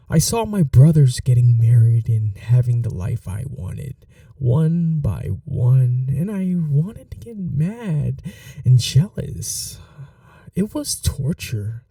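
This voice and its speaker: A bitter, possessive young vampire, voiced by a man in an ASMR roleplay, talking slowly in a dark, gravelly voice. He speaks softly, almost confessing, and sinks into whispers now and then. The mic is dry and set back a little. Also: mid-range voice, no echo, recorded from a distance, heavily acted. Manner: slow, soft-spoken, confessional, drops to whispers.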